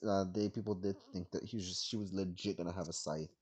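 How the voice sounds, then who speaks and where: A woman's voice: rumbling low, fairly even intonation, quick pace, breathy edge. A woman giving casual gameplay commentary as a streamer, informal and rambling. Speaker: game streamer. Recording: loud game music behind voice.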